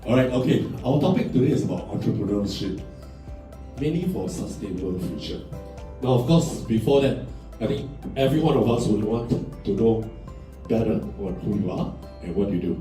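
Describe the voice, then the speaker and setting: Male moderator, bouncy and teasing, lively delivery in a roomy hall. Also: on the low side, fairly quick. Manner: playful, teasing, switches between English and Mandarin. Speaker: panel moderator. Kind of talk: lively event hosting, joking banter. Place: conference hall on stage. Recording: echoey hall from far off with music.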